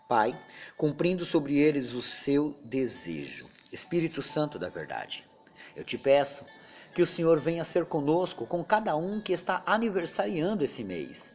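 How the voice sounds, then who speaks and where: A man, a pastor, leading an informal Bible study with a prayer, talking in a chatty way and often ending phrases with tag questions. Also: medium pitch.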